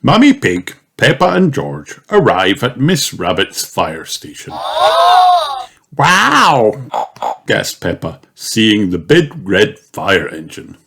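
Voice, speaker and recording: A man reading a children's storybook aloud in scripted, animated narration. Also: sits low, slow pace, few pauses, slight background noise. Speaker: storybook reader. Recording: music behind the voice. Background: background music.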